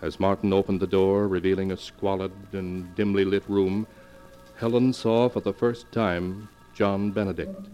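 An actor narrating a suspense tale in solemn, deliberate 1940s radio style, with an ominous manner. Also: male voice, low voice, flat delivery, mellow. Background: dramatic music.

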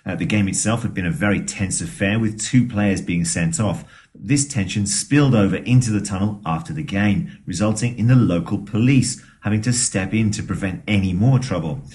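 A man's voice: fairly low pitch, darkish tone, slight background noise. A man co-hosting a football podcast, asking fans short questions with lots of uhs. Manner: friendly interviewer with frequent uh fillers. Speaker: podcast co-host interviewing fans. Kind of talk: casual interview.

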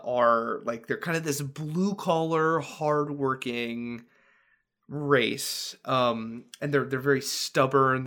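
Excitable man chatting on a podcast. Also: medium pitch. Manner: enthusiastic, many fillers and restarts. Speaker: podcast co-host. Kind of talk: casual podcast chat.

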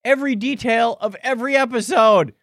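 A man's voice: very high voice, brisk, fairly bright tone, no room sound, clean studio sound. A man co-hosting a podcast in casual, comedic banter, with a joking, playful manner. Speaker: podcast co-host.

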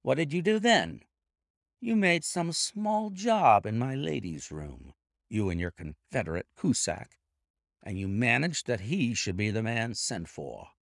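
A man reading aloud and voicing a calm, stern, commanding male detective in a cool, cutting, measured way. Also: medium pitch, gravelly, dry recording, clean studio sound, voice pushed up. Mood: coolly accusing. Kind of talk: audiobook character voice.

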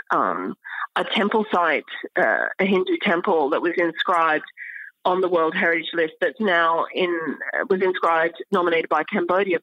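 A woman speaking as an academic expert in an interview, explaining in an articulate way with pauses mid-phrase. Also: lowish voice, dry recording, dull audio.

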